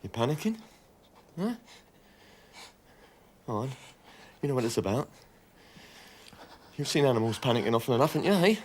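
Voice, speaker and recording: A firm, persuasive cop, voice moves a lot, clear noise behind. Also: male voice, expressive, brisk, long pauses. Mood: stern. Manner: firm, persuasive, low and urgent. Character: steady male police officer held hostage, coaxing gunman.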